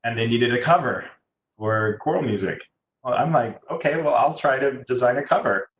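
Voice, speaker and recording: A man speaking as a guest, giving a casual, halting, chatty career talk to students. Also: mid-range voice. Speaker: guest speaker, musician and designer. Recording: echoey room, faint background noise.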